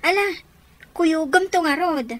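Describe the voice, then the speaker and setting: A woman's voice: extremely high pitch, slow, fairly bright tone, heavily acted. A woman with an Ilocano accent playing an inquisitive, supportive young friend in a radio drama. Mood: curious, concerned.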